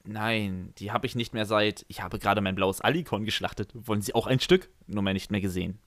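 A man with a fairly low voice narrates a comic first-person story. The sound is dry and close, with very little room around him. He reads from a script as the storyteller of a fan-made audio tale. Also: brisk, fairly bright tone, dry recording.

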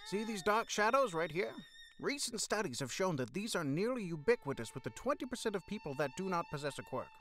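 A man's voice: high voice, very animated, fairly quick, fairly bright tone. A man voicing a breezy, smug, oblivious older doctor in an anime parody, folksy in manner. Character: quack older male doctor, breezy and tactless. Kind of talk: anime parody dub acting.

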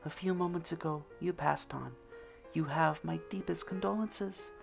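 A man doing a soft gentle goddess. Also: fairly high pitch, normal speed, gravelly, soft background music, pitched above the performer's own voice. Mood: gentle, consoling. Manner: soft, hushed and sad. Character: gentle soft-spoken young female goddess. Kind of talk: fan reading of a story.

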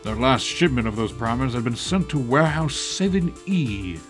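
A man acts a stern older male police chief with a medium-pitched voice. He is weary, authoritative and matter-of-fact in audio-drama style, with faint music behind him. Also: lively intonation, normal speed, close to an everyday voice. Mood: grave briefing.

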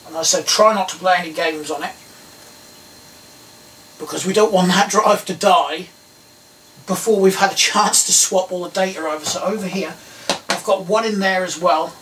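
A man's voice: sits high, moderate pace, fairly bright tone. A middle-aged man with an English accent gives a casual hands-on tutorial, rambling and thinking aloud in a small indoor workroom. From England. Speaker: host of a DIY electronics video. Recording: distant mic, some background noise.

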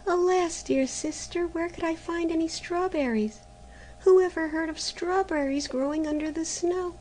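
A woman voicing a gentle, mistreated young girl who speaks softly and pleads timidly. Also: extremely high pitch, normal speed, slight background noise, pitched above the performer's own voice, rough recording. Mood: pleading.

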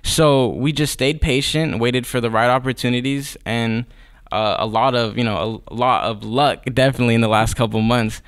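A young man, a guest rapper, talking casually in a studio interview with a relaxed, drawling manner and many fillers. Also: mid-range voice, moderate pace, smooth. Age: young adult. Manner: laid-back, drawling, lots of fillers. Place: podcast studio. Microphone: studio mic on boom arm.

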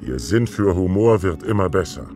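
Ein Mann spielt einen ruhigen, autoritären außerirdischen Jedi-General in einem Zeichentrick-Hörspiel. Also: male voice, low voice, lively intonation, normal speed, over music. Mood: calm, kind. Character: calm, authoritative male alien Jedi general, caring leader. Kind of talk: German animated-series audio drama dubbing.

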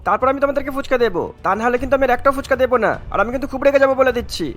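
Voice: sits high, racing, a bit bright. An actor voicing a fussy, firm street snack seller who scolds children in a cartoon. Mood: firm, annoyed. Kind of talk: cartoon voice acting.

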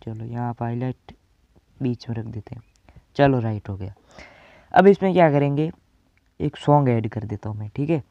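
A man's voice: medium pitch, moderate pace, vocal fry, pauses often, no room sound, lo-fi sound. A teenage male from India giving a casual step-by-step tutorial, addressing viewers as friends and often checking they follow. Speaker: tutorial presenter.

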